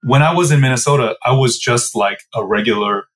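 A man's voice: mid-range voice, a little fast, fairly bright tone. Man, 40s, Midwest-raised guest; reflective, filler-heavy storytelling. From Midwestern United States. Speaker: guest, business co-founder. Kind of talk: casual interview answers.

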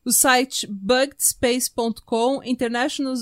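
High-voiced Brazilian woman telling true-crime stories. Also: fairly even intonation, takes it slowly, fairly bright tone. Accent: Brazilian Portuguese. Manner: informal, conversational, full of asides. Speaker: podcast host. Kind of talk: casual true-crime storytelling among friends.

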